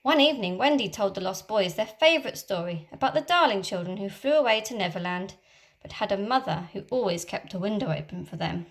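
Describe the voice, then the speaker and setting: A teacher reading a children's storybook aloud in a lively, expressive way. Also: female voice, medium pitch, brisk.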